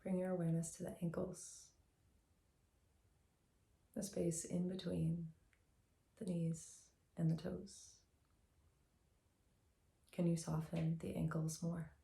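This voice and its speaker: A woman guiding a meditation as a teacher, speaking in a gentle, soothing, unhurried way with long pauses, in a slightly echoey room. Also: on the low side, level tone, vocal fry. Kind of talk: guided meditation, soft instructional narration.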